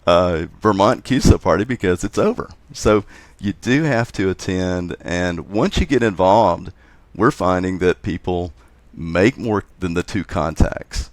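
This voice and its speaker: A man with a deep voice talks casually as an interview guest. He is halting and repeats words, and the recording is very dry, free of room sound. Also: no echo. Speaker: interview guest, contest organizer.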